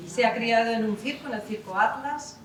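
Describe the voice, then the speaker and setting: A woman's voice: a little high, normal speed, a crowd in the background. A woman, an artistic director introducing a conference panel in a chatty way, full of fillers and self-corrections. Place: theatre hall. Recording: echoey hall, voice from far off.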